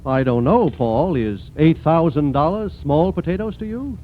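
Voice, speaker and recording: Male 1940s sponsor spokesman, American, scripted radio delivery, higher register, faint noise. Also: expressive, normal speed. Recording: muffled, noisy 1940s radio recording.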